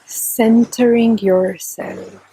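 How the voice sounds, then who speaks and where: A woman leading a guided meditation in a calm, gentle, soft and breathy voice, with long unhurried pauses between short phrases. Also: a little high, low-quality recording. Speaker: meditation teacher. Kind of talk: guided meditation, slow and instructional.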